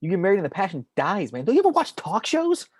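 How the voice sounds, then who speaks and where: A man's voice: sits high, huge pitch swings, quick pace, breathy edge, dry recording, full character voice. A man playing a cynical, cocky angel of death in a film script table read, arguing in a slangy, opinionated way. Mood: argumentative.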